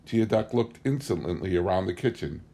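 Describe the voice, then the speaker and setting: Male audiobook narrator, low-pitched, for first-person literary memoir. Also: lively intonation, brisk, mellow. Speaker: audiobook reader.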